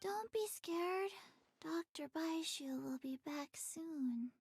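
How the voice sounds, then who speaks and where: A woman voicing a gentle, childlike little zombie girl in a video game, speaking softly and haltingly. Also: very high-pitched, no echo, full character voice. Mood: gentle, reassuring. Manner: slow, halting, subdued delivery.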